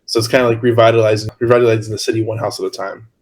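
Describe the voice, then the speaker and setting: A man's voice: fairly low pitch, little pitch movement, rapid-fire, poor audio. Chatty male podcast host. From Hammond, Indiana. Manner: chatty, laughs often, many fillers. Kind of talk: casual podcast chat.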